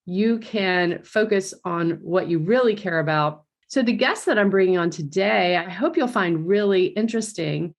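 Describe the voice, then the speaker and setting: A woman's voice: fairly low pitch, moderate pace, hardly any pauses. Eager woman hosting a podcast. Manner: enthusiastic, earnest interviewer. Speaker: podcast host. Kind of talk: podcast intro and interview.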